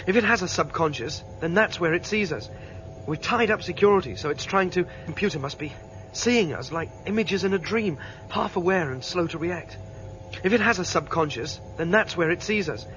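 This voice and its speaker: High-voiced, urgent rebel. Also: male voice, fairly bright tone, a little hiss or hum. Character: urgent, defiant male rebel on the run. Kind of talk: 1970s sci-fi radio drama acting. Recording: dull lo-fi radio recording, faint music.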